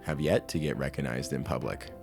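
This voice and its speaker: A twenty-year-old man talks through questions about his own year in an easy, candid way, poking fun at himself. His voice sits low. Soft music plays faintly underneath. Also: brisk, gravelly. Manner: candid, chatty, self-deprecating. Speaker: vlogger answering yearly self-interview questions. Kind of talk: casual self-interview.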